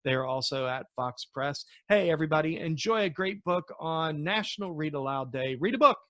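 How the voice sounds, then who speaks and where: A man's voice: a little high, expressive, moderate pace, fairly bright tone, dry recording. Man reading a story aloud, dry sound. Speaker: author reading from own novel. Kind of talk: storybook read-aloud narration.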